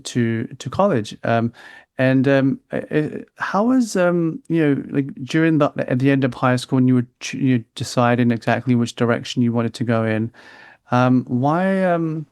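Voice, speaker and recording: A man from the United Kingdom hosting a podcast, asking long, halting questions full of restarts. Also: medium pitch, a little mellow, smooth, no room sound. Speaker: podcast host. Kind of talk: interview questions.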